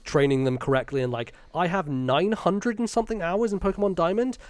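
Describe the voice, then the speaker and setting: A man's voice: sits high, brisk, no echo. British man, close dry sound. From Britain. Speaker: podcast guest, content creator. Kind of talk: casual conversational interview.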